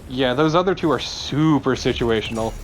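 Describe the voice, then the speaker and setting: A man with a medium-pitched voice chats casually over gameplay as a co-commentator. There is faint noise in the background of his recording. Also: normal speed, poor audio. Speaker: let's play co-commentator.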